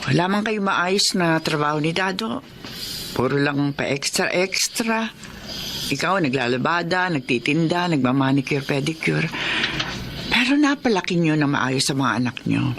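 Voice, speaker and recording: A woman's voice: sits low, very animated, a little slow, gravelly, background noise, heavily acted. A woman playing a wistful, envious, better-off mother in a radio drama, with a Filipino accent. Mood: rueful.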